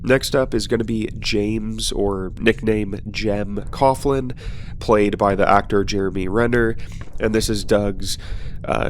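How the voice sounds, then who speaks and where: Slow, low-voiced man with a cold. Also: slight background noise. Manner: relaxed and rambling, with frequent ums and uhs. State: sniffly with a cold. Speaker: host of a weapons channel. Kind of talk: casual film-weapons commentary.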